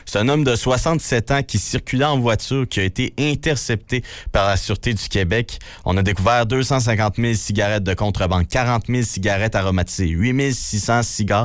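A man's voice: on the low side, no echo, studio quality. A man with a Quebec French accent reads traffic and news items on the radio, matter-of-fact, with slangy remarks between items. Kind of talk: radio news bulletin, read briskly with casual asides.

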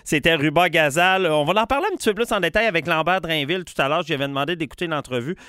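A man, a radio host wrapping up an interview in a brisk broadcaster style. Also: a little high, smooth, no echo, studio quality. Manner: brisk, bright broadcaster delivery.

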